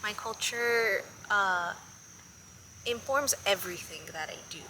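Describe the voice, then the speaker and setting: A woman's voice: mid-range voice, takes it slowly, pushed and bright, slight background noise, low-quality recording. A Colombian-born woman living in Miami, hosting a podcast and speaking reflectively, with hesitant restarts. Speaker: podcast host. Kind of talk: casual interview conversation.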